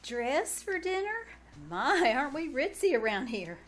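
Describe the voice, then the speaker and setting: A woman's voice: high-pitched, sing-song, unhurried. A woman playing a fussy, puzzled, good-natured aunt with flustered, folksy delivery in a 1940s-style radio comedy. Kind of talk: 1940s radio comedy acting before live audience. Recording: noisy live stage recording.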